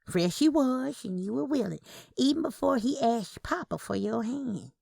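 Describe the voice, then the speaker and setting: A woman acts out a blunt old twin aunt from a family story. She is scornful and emphatic, with a raised, gravelly voice. The recording is close and dry. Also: fairly high pitch, expressive, normal speed, warm tone, dry recording, pitched above the performer's own voice, heavily acted. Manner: emphatic, snorting disdain.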